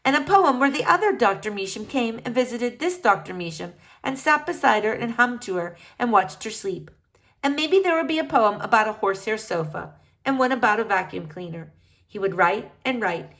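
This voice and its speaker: Lively woman reading a children's book. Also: on the high side, normal speed. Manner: expressive storytelling. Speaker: reader. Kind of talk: children's book read-aloud.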